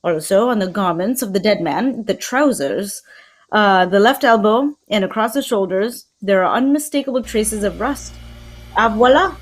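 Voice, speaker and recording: A woman playing a fussy, courtly Belgian male detective in a radio mystery, speaking formally and deliberately, with theatrical precision. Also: medium pitch, animated, close to an everyday voice. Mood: precise, courteous. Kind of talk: old-time radio mystery script reading.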